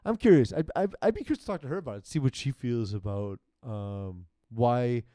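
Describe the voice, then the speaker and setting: Animated man talking sports, dry mic. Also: mid-range voice, no room sound. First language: not French. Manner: animated, quick asides, restarts. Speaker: podcast co-host. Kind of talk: casual sports chat.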